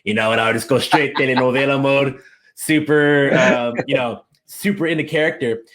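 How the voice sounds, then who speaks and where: A man's voice: medium pitch, moderate pace. A man being interviewed as a guest, telling stories in a casual, enthusiastic way. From United States. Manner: animated, enthusiastic storyteller. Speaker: interview guest, language coach.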